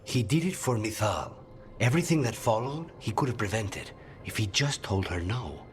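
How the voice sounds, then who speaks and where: A low male game character voiced by a man, brooding and hard-edged. He speaks bluntly and with distrust while music plays clearly underneath. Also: animated, moderate pace, close to an everyday voice. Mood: sober. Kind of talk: video game cutscene acting.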